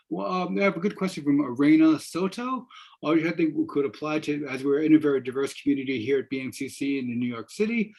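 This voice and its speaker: A man's voice: a little high, darkish tone. A man in his sixties moderating an informal panel on a video call, encouraging and rambling, often repeating words. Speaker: moderator, professor. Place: wood-paneled room indoors.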